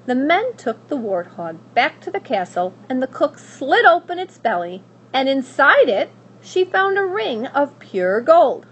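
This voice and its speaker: Animated, deliberate woman reading a story. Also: sits high. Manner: dramatic, deliberate. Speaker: school staff member reading aloud. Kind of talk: expressive children's story narration. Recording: faint background noise.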